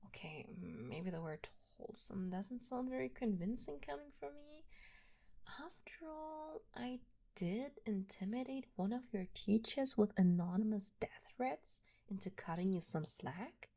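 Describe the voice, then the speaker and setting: A woman playing an obsessive, doting young yandere kidnapper in an intimate ASMR roleplay, soft and breathy with frequent drops toward a whisper. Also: mid-range voice, animated, unhurried. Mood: tender, obsessive. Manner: soft breathy delivery dropping often into whispers.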